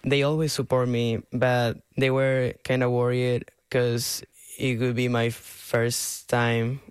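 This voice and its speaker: A man's voice: mid-range voice, monotone, takes it slowly, clean tone, dry recording. An eighteen-year-old man from Peru with a Peruvian Spanish accent, giving earnest, casual interview answers in simple second-language English. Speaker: interview guest, clarinet student.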